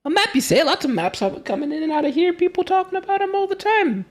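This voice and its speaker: A man voicing an energetic, busy female tavern waitress in a tabletop game, brisk and chatty. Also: extremely high pitch, pitched above the performer's own voice.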